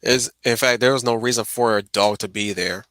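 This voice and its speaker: A man's voice: mid-range voice, moderate pace, fairly bright tone, no echo. A man chatting casually on a livestream as he reacts to a video, often repeating words as he finds his way. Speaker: stream host.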